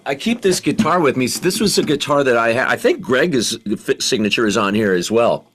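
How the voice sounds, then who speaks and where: Enthusiastic, halting male radio host. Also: mid-range voice, very animated, fairly bright tone, slight background noise. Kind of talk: casual interview chat.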